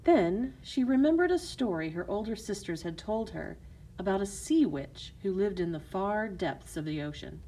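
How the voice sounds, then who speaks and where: Mellow woman storyteller. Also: medium pitch, expressive, normal speed, slight background noise, poor audio. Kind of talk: scripted fairy tale narration for children.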